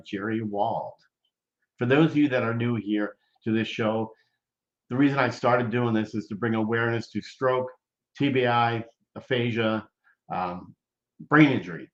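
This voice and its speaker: A man hosts a relaxed live-stream chat over a video call, pausing often and filling gaps with filler sounds. His voice carries a roomy echo and comes through dull and lo-fi, like a muffled webcam mic. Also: mid-range voice. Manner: halting, many filler sounds. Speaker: host. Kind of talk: casual live-stream interview.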